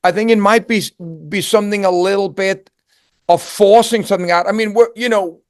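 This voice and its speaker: A man in his sixties from Denmark with a Danish accent, a singer giving a reflective interview and talking in halting, repeated starts. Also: sits high, steady tone, dry recording, studio quality. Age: 60s. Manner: halting, repeats words, searches for phrasing.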